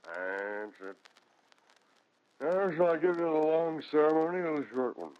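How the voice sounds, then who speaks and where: An actor playing a genial, obliging judge in a 1940s radio drama, with a folksy, ceremonial manner. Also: male voice, on the high side, expressive, a little slow, clear, resonant tone. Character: genial, obliging male judge officiating a wedding. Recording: dull 1940s radio recording.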